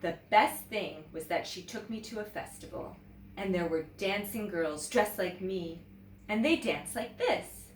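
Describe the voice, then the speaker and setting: A woman's voice: medium pitch, lively intonation, unhurried, lightly acted. A woman voicing an earnest, proud little first-grade girl in a storybook, explaining sincerely. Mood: proud, eager. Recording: roomy echo, distant mic, faint noise.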